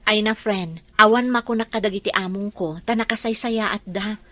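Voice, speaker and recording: A woman's voice: medium pitch, moderate pace, a bit bright, no room sound, lightly acted. A woman playing a polite, deferential housemaid and devoted mother in a radio drama, respectful and a little hesitant. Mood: friendly, confiding. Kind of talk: radio drama acting in dialogue.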